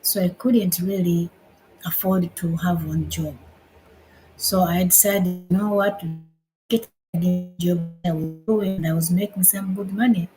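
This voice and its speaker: A woman from Uganda in her fifties, hosting a casual live stream from home, unhurried and repeating her phrases as she restarts them. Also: fairly low pitch, steady tone, warm tone, soft background music, low-quality recording. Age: 50s. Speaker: livestream host.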